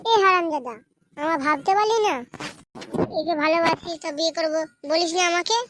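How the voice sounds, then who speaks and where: Cartoon village mother, piercingly high pitch, plaintive, protective, scolding, faintly noisy recording. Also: brisk. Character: tearful, pleading village mother, protective of her son. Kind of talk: comedy cartoon dubbing in colloquial village speech.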